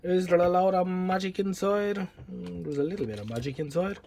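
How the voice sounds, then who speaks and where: Irish man, higher-pitched voice, tinkering aloud. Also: fairly quick, darkish tone. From Ireland. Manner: wry, self-deprecating, muttering to himself. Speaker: electronics repair hobbyist presenting. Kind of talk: casual hands-on repair commentary. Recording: clearly noisy home video recording.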